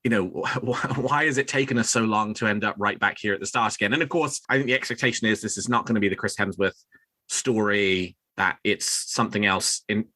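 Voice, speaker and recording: Male podcast host, crisp bright edge, close dry sound, talking emphatically through news. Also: on the low side, fairly quick, dry recording. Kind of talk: news discussion on a fan podcast.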